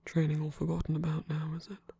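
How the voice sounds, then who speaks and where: A performer of unclear sex playing an affectionate, battle-scarred villain lover in an intimate ASMR roleplay, tender, teasing and soft-spoken, with a breathy, husky voice. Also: medium pitch, completely flat delivery, fast talker. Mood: teasing, scolding.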